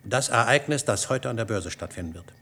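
A man's voice: medium pitch, expressive, a little fast, creaky, bright, forceful tone, full character voice. An actor playing a scheming, persuasive male doctor in a science fiction radio play. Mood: resolute, urgent. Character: shrewd, scheming male doctor tempting a colleague.

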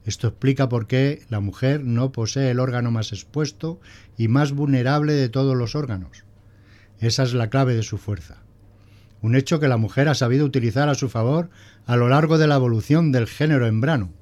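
A man's voice: medium pitch, fairly quick, a little mellow, lightly acted. A man playing a prim, pedantic male schoolteacher who lectures didactically in a scripted audio drama. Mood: earnest explaining. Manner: didactic, pompous.

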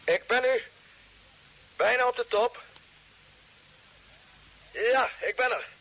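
An excited space expedition commander in an old radio drama. The voice is very high, sharp and somewhat husky, coming over a radio link. Words get repeated in urgent awe. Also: male voice, slow pace, exaggerated voice, poor audio. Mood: awestruck. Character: excited, awestruck male Mars expedition commander. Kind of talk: vintage Dutch radio drama acting.

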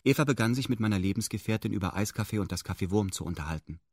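Low-voiced, detached male narrator. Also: rapid-fire, darkish tone, husky, dry recording, studio quality. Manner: formal, detached, meticulous. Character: dry, meticulous male first-person narrator. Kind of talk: scripted radio play narration in reported speech.

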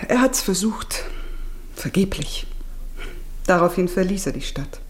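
Ernste, bittere junge Adlige. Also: female voice, lowish voice, animated, measured pace, in a whisper. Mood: grave. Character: grave, bitter young noblewoman and mother. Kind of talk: 1950s German radio drama acting.

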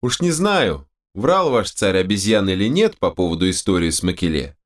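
A man's voice: deep voice, sing-song, normal speed. A man voicing a cocky, defiant adventurer hero with offhand banter in a fantasy audiobook.

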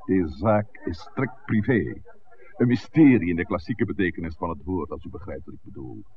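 An actor playing a shrewd, dignified village clergyman in a 1960s radio drama, speaking in measured, formal, lecturing phrases. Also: deep voice, lively intonation. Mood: measured, guarded. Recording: noisy, narrow-band old radio recording.

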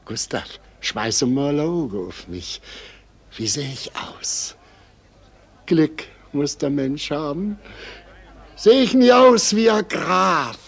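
Ein Schauspieler spielt in einem deutschen Hörspiel einen betrunkenen, rührseligen alten Weber mit schlesischem Akzent, lallend und überschwänglich. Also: male voice, a little high, lively intonation, slow pace, gravelly, slightly rough, exaggerated voice. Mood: tipsy, boastful. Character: drunken, boisterous old poor weaver, boastful and sentimental. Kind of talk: German radio play acting.

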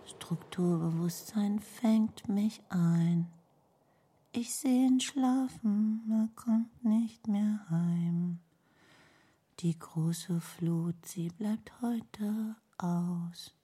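An actress in a German radio play playing a quietly musing, questioning woman with an understated manner. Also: medium pitch, extremely slow, slightly rough.

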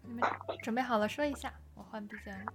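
A woman's voice: a little high, fast, heavy background noise. A woman hosting a casual group chat, organising the others and handling the music. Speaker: event host running the background music.

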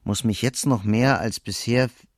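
A man's voice: medium pitch, moderate pace, dry recording, studio quality, natural voice. A man playing an irritable, blunt, colloquial voice-over reader in a naturalistic radio drama. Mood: reading aloud.

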